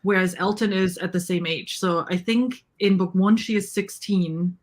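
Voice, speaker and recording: A woman's voice: fairly low pitch, steady tone, moderate pace. A woman talking casually and conversationally as a panelist in an online book discussion. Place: indoors on a livestream video call.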